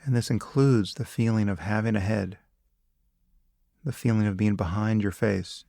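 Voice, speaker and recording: Male meditation guide, lowish gravelly voice, calm and measured, lots of space between phrases, close dry sound. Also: no room sound. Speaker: meditation teacher. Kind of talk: guided meditation, spoken instruction.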